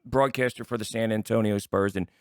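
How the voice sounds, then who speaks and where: A man's voice: lowish voice, fairly even intonation, a little fast, dry recording, clean studio sound. A man hosting a sports talk show in casual interview chat, chatty and often stumbling over and repeating his words.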